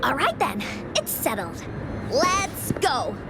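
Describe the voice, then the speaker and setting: A woman's voice: high-pitched, huge pitch swings, slow pace, loud music behind the voice, exaggerated voice. A woman playing a cheerful, confident little girl adventurer in an anime-style video game, with a childlike, bold, reassuring manner. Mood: eager.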